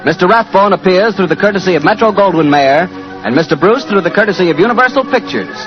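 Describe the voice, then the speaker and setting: An old, muffled radio recording of an American man announcing in 1940s style. His pitch is on the high side and moves in animated swings. He delivers host lines and sponsor copy. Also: quick pace, music in the background.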